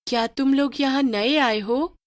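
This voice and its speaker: A woman voicing a strange, playful young village girl who coaxes others, in an animated horror story. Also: high voice, unhurried, dry recording, pitched above the performer's own voice. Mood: inviting.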